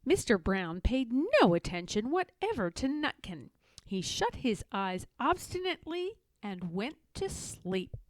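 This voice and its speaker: A woman narrating a children's storybook aloud in an expressive, measured read-aloud manner. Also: mid-range voice, no room sound. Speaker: storybook reader.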